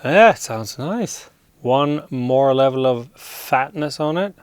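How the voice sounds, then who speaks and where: A man hosting a casual hands-on audio gear demo, relaxed and appreciative as he thinks aloud. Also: mid-range voice, takes it slowly, very noisy. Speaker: host demonstrating audio gear.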